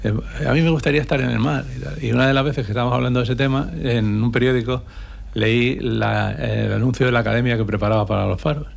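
A man from Spain, a former lighthouse keeper, answering radio interview questions in a relaxed, hesitant way. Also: mid-range voice, fast, gravelly. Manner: relaxed, anecdotal, many hesitations. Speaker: guest, retired lighthouse keeper.

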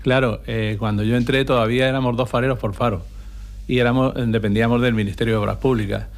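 Spanish guy, gravelly, rambling a bit on a radio interview, lots of ehs. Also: medium pitch, quick pace. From Spain. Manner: relaxed, anecdotal, many hesitations. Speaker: guest, retired lighthouse keeper.

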